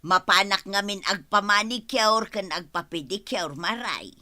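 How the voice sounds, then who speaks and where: A breezy, trusting woman with an Ilocano accent and a bright, forward voice. She is keen on earning and speaks in the style of a radio comedy-drama. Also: medium pitch, measured pace. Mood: chatty, carefree. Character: breezy, eager, trusting woman taking delivery jobs.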